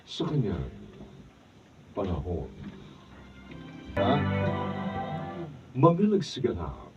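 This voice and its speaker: A man's voice: lowish voice, wildly swooping pitch, over music. A man giving the solemn closing moral and credits of a radio drama. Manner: slow, solemn, measured. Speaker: narrator and announcer. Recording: muffled, noisy, from far off.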